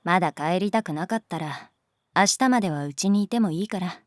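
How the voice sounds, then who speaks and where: A woman's voice: lowish voice, animated, fairly quick, lightly acted. An actress playing an easygoing, teasing woman teacher in a Japanese voice drama, speaking casually and bluntly. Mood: calm, caring. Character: easygoing, teasing adult woman teacher who loves beer.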